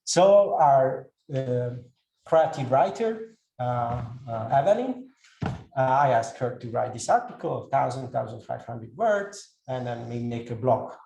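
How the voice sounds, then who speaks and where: A man whose first language is Hungarian gives a talk to a live audience, speaking slowly with many fillers and restarts. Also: medium pitch, lively intonation, gravelly, warm tone, muffled recording. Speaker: speaker giving a talk on AI tools. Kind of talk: presentation to an audience. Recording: echoey room, faint noise.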